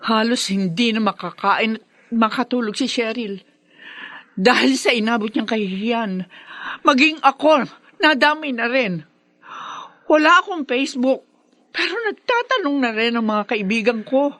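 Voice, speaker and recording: A woman's voice: on the high side, expressive, normal speed, fairly bright tone, dry recording. An actress playing a wronged, protective, vengeful mother in a Filipino radio drama, confrontational and dramatic. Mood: angry, accusing.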